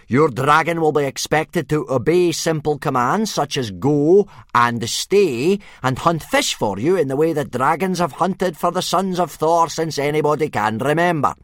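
A man voicing a gruff, blustering male Viking drill instructor who bellows at his young recruits. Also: fairly high pitch, fairly bright tone, dry recording, clean studio sound, voice pushed up, natural voice.

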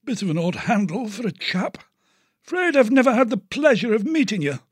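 A man's voice: high voice, big pitch swings, fairly quick, husky, dry recording, studio quality, voice pushed up, full character voice. A man voicing a blustering, pompous old male hare colonel who speaks in indignant outbursts. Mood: scornful. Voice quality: fussy and indignant. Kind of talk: audiobook character voice.

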